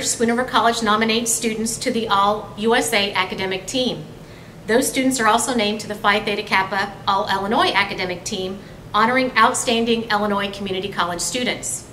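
A middle-aged American woman reads a formal scripted announcement as an award presenter. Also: bright, forceful tone. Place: indoor room at a podium. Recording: echoey room with faint rumble.

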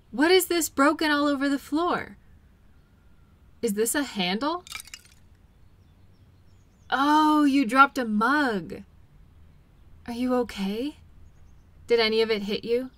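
A woman playing a caring, patient girlfriend in an intimate role-play audio, talking gently and soothingly to her partner. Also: high-pitched, no room sound, full character voice. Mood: concerned. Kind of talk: intimate one-sided role-play acting.

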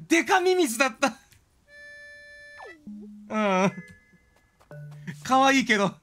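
A man doing casual game commentary as a streamer, exclaiming and talking to himself as he plays. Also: very high-pitched. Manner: animated, exclamatory, talks to himself.

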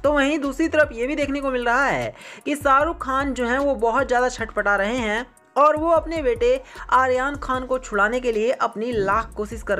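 Female news narrator, somewhat high voice, for scripted sensational channel report. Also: a bit bright.

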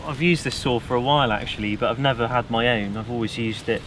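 A young British man chatting casually about outdoor gear in a woodland, keen and relaxed. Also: medium pitch, quick pace. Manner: relaxed, enthusiastic gear talk. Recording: outdoor camera audio, clear background noise.

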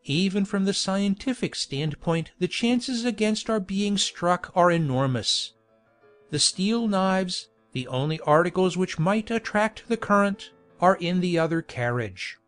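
Woman reader doing a condescending male chaplain, slow and lofty. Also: lowish voice, expressive, faint music behind. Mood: lecturing. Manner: lecturing, pompous. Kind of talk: audiobook character voice.

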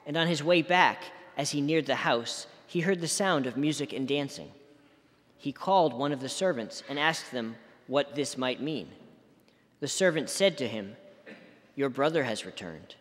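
A man's voice: medium pitch, a bit bright. A middle-aged man reading scripture solemnly as a deacon at a church lectern. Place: large stone church, at a lectern. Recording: reverberant church hall.

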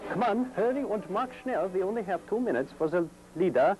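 A man playing a pushy Nazi aide in an amateur comedy film, barking hurried orders. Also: high-pitched, expressive, darkish tone, soft background music, poor audio. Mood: bossy.

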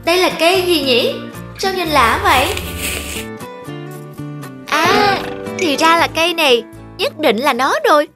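A woman voicing an earnest poor young farm boy in an animated fairy tale, plaintive and full of exclamations. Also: extremely high pitch, unhurried, pauses often. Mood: curious, delighted. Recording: music under the voice.

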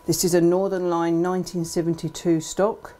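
Mellow low-voiced woman guiding a tour. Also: level tone, normal speed. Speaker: station tour guide. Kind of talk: informal guided tour commentary. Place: indoors in disused underground station, tunnels and train carriage.

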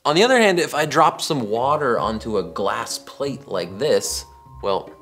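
A man's voice: medium pitch, lively intonation, measured pace, fairly bright tone. An American man in his forties hosting a science explainer, talking to camera in a casual, emphatic, conversational way. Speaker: science show host. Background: background music.

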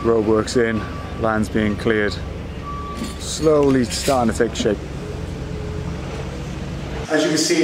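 A young man gives a brisk, upbeat sales walkthrough, talking in short clipped phrases. His voice bounces around bare, echoey rooms. Heavy building-site noise runs loud underneath, picked up by a camera mic. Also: medium pitch. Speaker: estate agent. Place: unfinished timber-frame house and outdoor building site.

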